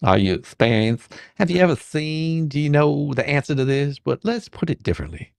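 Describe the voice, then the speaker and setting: A performer playing a bumbling, sarcastic questioning attorney in a comedic deposition reading, halting and theatrical. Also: mid-range voice, sing-song, creaky, darkish tone, dry recording, clean studio sound. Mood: probing, sarcastic. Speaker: dramatic reader.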